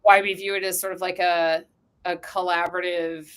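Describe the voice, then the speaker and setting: A woman's voice: on the low side, level tone, moderate pace. A woman explaining things in casual, informal interview talk, sprinkled with anecdote. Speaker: company co-founder, interview guest.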